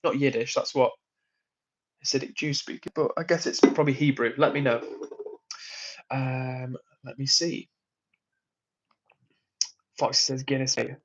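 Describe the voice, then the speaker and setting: A man's voice: medium pitch, level tone, a little slow, long pauses, muffled recording, slight background noise. Chatty man reading stream chat. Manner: chatty, rambling, friendly to chat. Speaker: livestream host. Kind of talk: casual livestream chat reading comments. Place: hotel room.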